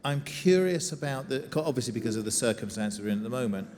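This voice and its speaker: A man interviewing a guest on a conference stage, wry and quick with quips, often restarting his sentences. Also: mid-range voice, lively intonation, darkish tone. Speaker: interviewer, moderator. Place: conference stage before an audience. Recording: reverberant conference hall.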